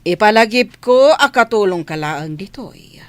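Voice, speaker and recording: An actress playing a haughty, cruel rich mistress who scolds and threatens in a radio drama. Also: female voice, medium pitch, animated, measured pace, dry recording, lightly acted. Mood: stern, threatening. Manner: domineering, threatening. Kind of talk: Ilocano radio drama acting.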